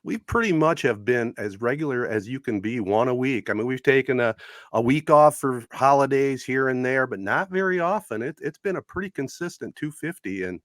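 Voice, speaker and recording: A man's voice: medium pitch, animated, moderate pace, fairly bright tone, no echo, clean studio sound. A man hosting a podcast in a casual, conversational style. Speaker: podcast host.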